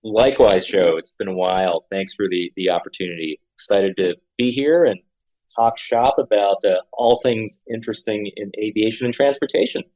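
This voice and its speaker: Earnest man telling his story, low voice. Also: moderate pace, darkish tone, poor audio. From Honolulu, Hawaii. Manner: earnest, frequent fillers and repeated words. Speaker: guest architect. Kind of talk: conversational interview.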